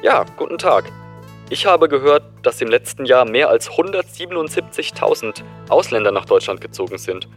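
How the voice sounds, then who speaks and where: A man's voice: mid-range voice, expressive, normal speed, soft background music. A man acting as a caller on a scripted radio show, voicing his opinion in a matter-of-fact way.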